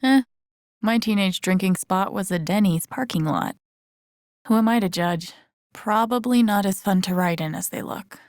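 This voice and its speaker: An actress voicing a wry, caring young woman in a video game, muttering to herself in a soft, understated, dryly musing way. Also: medium pitch, moderate pace, no echo, clean studio sound, natural voice. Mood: wry, deadpan. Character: young woman, wry, caring, thinking aloud while searching. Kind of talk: video game voice acting, muttered self-talk while exploring.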